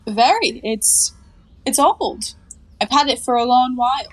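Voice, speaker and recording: A breezy young flapper played by a woman in a one-act comedy. Her voice is high and she takes her time over the words, coy, playful and entirely unabashed. The delivery is theatrical, in a 1920s comic style. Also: lively intonation, a little slow, low-quality recording. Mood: coy, amused.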